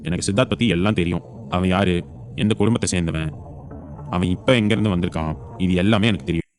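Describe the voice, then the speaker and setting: Man playing stern, fast-talking protective grandfather. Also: sits low, close to an everyday voice. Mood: firm, reassuring. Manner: authoritative, emphatic, reassuring to granddaughter. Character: stern, protective grandfather, indignant family elder. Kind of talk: audio serial drama acting.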